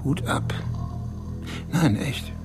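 A man's voice: extremely slow, music in the background. A man in a radio drama playing a self-satisfied bank chief who speechifies. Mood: smug. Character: smug male bank chief, proud self-made man.

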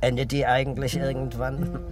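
A man's voice: medium pitch, little pitch movement, moderate pace, lightly acted. Dry, ironic detective played by a man, music behind. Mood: probing. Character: wry, probing male police detective colleague. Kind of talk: naturalistic German radio crime drama acting.

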